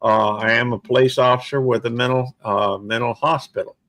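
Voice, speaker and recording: A man in his sixties chatting casually on a livestream, plainspoken and halting, with many fillers. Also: mid-range voice, steady tone, fairly bright tone. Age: 60s. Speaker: livestream host. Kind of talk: casual chat.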